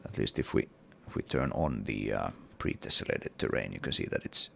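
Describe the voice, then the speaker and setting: A man's voice: extremely low pitch, a little fast, gravelly, bright, forceful tone, a little hiss or hum. A man with a cold and a partly lost voice, talking casually through his game level in a hesitant devlog with many fillers. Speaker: indie game developer.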